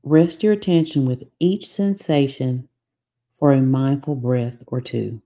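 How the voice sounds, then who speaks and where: Very low, slow woman guiding meditation. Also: warm tone. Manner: soothing, instructional, unhurried with long pauses. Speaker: meditation guide. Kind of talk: guided meditation, scripted narration.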